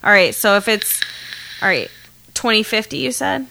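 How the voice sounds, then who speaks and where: A woman's voice: medium pitch, expressive, normal speed, gravelly, sharp tone, low-quality recording. An American woman in her thirties co-hosting a podcast, bantering casually in an irreverent, exclamatory way with plenty of swearing. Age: 30s. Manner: irreverent, profane, exclamatory, giggly. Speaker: podcast co-host. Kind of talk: casual banter about the news.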